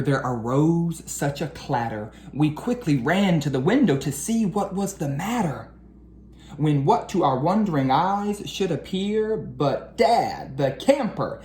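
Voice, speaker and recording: A woman with a low voice reads a picture book aloud to children at an unhurried pace. Her delivery is expressive and lilting, leaning into the rhymes. The room adds a noticeable echo. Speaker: educator reading aloud to children. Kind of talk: storybook read-aloud for children. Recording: roomy echo with faint background noise.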